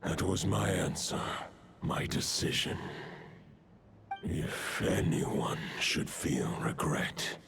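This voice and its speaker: A man playing an ancient, solemn wolf spirit in a video game, with a gravelly, breathy growl and grave, formal speech. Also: low voice, expressive, slow, background noise, exaggerated voice. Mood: solemn, resigned. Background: game music.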